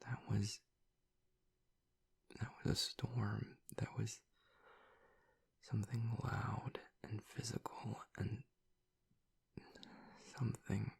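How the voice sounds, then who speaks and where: A man playing a guarded, vulnerable, grieving werewolf boyfriend in an intimate audio roleplay, speaking in a halting, breathy, gravelly whisper. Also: on the low side, level tone, exaggerated voice. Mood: guarded, reluctant. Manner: halting, reluctant, haltingly confessional.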